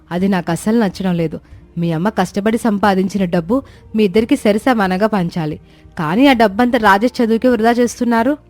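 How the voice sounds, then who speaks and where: A woman acts out a young married woman in an animated family story. She is demanding and full of complaint, pressing her case at a medium pitch. Faint music plays behind her. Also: close to an everyday voice. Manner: complaining, insistent. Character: discontented young wife, nagging and demanding. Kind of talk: dramatised moral story for animation.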